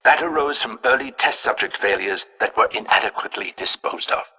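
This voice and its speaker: An actor playing an erudite, disdainful insider in a video game, revealing dark secrets in a formal, precise, wry monologue. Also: male voice, on the low side, expressive, fairly quick, breathy edge, full character voice, rough recording. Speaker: informant character in a video game. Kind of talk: scripted confessional monologue.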